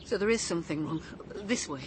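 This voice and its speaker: An actress playing a nervous, respectful housekeeper in a radio crime drama. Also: female voice, fairly low pitch, expressive, brisk, background noise, close to an everyday voice. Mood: anxious. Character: nervous, deferential female housekeeper.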